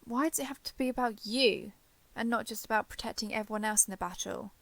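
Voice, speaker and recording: A woman playing a shy, guarded former villain turned ally in an intimate romance audio roleplay, halting, defensive and awkwardly sincere. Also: on the high side, vocal fry, a bit bright, dry recording. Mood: grudging, defensive.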